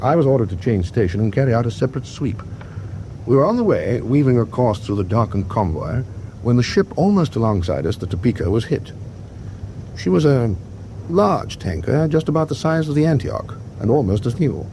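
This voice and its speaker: A mellow, middle-aged British naval captain in a wartime radio drama. He is reflective and measured, yet his pitch moves expressively through clipped officer phrases. A steady rumble of noise sits behind him. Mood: grave, haunted. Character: weary, reflective male British warship captain, kindly to officers.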